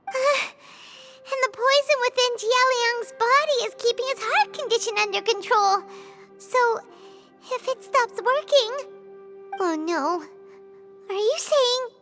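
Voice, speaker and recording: A woman voicing a small, excitable childlike fairy companion in a video game, squeaky and earnest. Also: takes it slowly, pauses often, over music. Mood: dawning worry.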